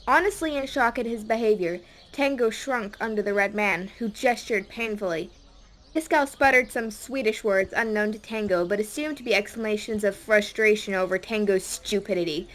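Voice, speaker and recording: A woman's voice: mid-range voice, moderate pace. A woman reading a scripted audiobook story as a dramatic narrator.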